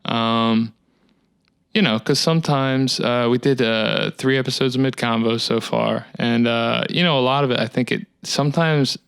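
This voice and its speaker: A man in his thirties talking in a casual, rambling solo monologue, with a dry, monotone delivery. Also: medium pitch, normal speed, creaky, clear, resonant tone, no echo. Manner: rambling, reflective, many fillers. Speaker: musician hosting his own talk series. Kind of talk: casual solo talk to camera.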